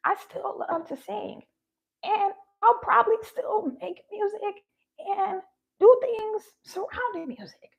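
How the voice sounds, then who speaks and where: A woman's voice: extremely high pitch, takes it slowly, vocal fry, rough recording. A woman giving a heartfelt spiritual talk, her voice shaky and sounding close to tears. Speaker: spiritual vlogger.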